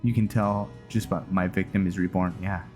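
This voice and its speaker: A man hosting a roleplay game playthrough, giving casual, low-key commentary as he thinks aloud. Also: fairly even intonation, normal speed. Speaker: gaming video host. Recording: loud game music behind the voice. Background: video game music.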